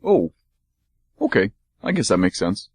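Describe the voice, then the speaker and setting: A man's voice: lowish voice, wildly swooping pitch, measured pace, breathy edge, no room sound, full character voice. A man voicing a dim, friendly dog in a radio play, talking in a stammering, good-natured way in a dry studio. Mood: dopey.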